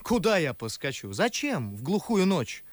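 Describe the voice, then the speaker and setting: An actor playing a skeptical, weary young gentleman in a radio play, speaking verse in a dry, dismissive, ironic manner. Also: fairly high pitch, very animated, normal speed.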